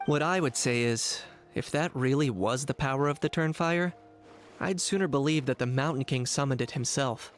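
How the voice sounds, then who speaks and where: Calm, reserved young warrior played by a man. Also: medium pitch, moderate pace, faint music behind, close to an everyday voice. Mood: reflective, serious. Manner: level-headed, matter-of-fact. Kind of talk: anime video game voice acting.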